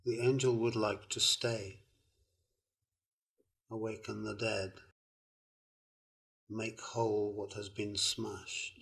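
An older man in a film, reciting a philosophical text slowly and solemnly. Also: on the low side, vocal fry, exaggerated voice. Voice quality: slow, measured, flat delivery. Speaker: reader in the artist's film. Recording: soundtrack of a film played back.

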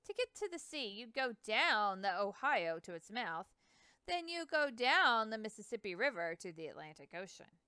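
A folksy river man who breeds dogs, plainspoken and proud of his animals, as a woman reads his lines. The voice swings lively up and down, smooth and close with no room sound. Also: fairly high pitch, moderate pace, no room sound, pitched above the performer's own voice. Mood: skeptical.

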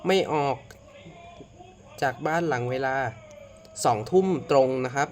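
A man from Thailand hosting a casual horror reaction video, excitable and full of exclamations. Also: mid-range voice, measured pace, very noisy. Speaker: video host.

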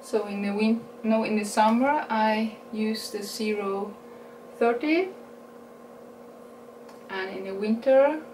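A woman's voice: mid-range voice, far from the mic. A woman in her forties from Norway, speaking English with a Norwegian accent, chatting casually through a makeup haul and correcting herself as she goes. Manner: unhurried, chatty, self-correcting, laughs at her own English. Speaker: beauty vlogger. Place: small room indoors. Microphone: camera mic at a distance. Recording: roomy sound with a steady background hiss.

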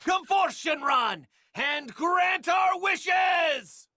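A woman voicing a small, plucky, anxious young male martial-arts fighter with a raspy voice in an anime game. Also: extremely high pitch, expressive, drawn-out words, bright, forceful tone, no room sound, shouting, full character voice. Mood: commanding. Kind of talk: anime game dub acting.

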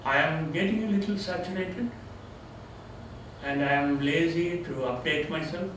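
An older man with a Tamil accent reflects on retirement in a relaxed, self-deprecating way. His voice sits slightly high. The recording is taken from a far camera in an echoey seminar room with steady background noise. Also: normal speed. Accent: Tamil-accented English. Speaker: retiring theology professor. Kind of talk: informal farewell lecture with Q&A. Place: seminar room, indoors, medium-sized.

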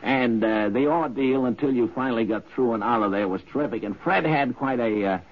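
A man's voice: medium pitch, a little fast. A man being interviewed, telling an anecdote from memory. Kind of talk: spoken anecdote. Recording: dull 1950s radio recording.

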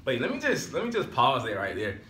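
A man's voice: mid-range voice, huge pitch swings, fairly quick, a bit bright, echoey room, a little hiss or hum. A man of twenty-one, chatting casually and rambling a little as a vlogger. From Alabama, US. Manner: laid-back, rambling, repeats "so therefore". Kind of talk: casual chat. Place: small room at home.

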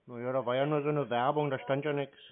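A man's voice: medium pitch, steady tone, fast talker. Needling man on a phone call. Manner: obtuse, contrary, needling. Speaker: scam-baiting prank caller. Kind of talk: casual phone conversation. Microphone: telephone. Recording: phone-like sound with office chatter.

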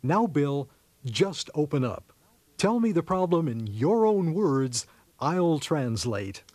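Lively man acting a earnest marriage counselor. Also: fairly high pitch, slow pace, no echo, full character voice. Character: soothing male marriage counselor who translates jargon. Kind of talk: radio sketch comedy acting.

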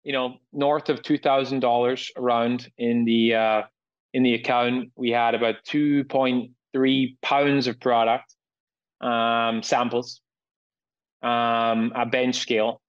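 A man's voice: medium pitch, clear, resonant tone, highs cut off. A man in his early thirties from Scotland, a company founder speaking steadily as a guest on a video-call interview. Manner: steady, measured, lists points. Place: indoor room, home.

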